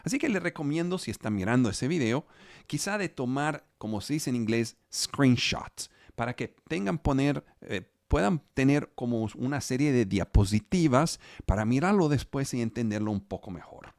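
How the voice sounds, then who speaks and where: A man preaching a sermon as pastor, Spanish not his first language, slow and halting, often repeating words as he searches for phrasing. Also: medium pitch, expressive, a little mellow, no echo, clean studio sound. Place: home studio for a live online service.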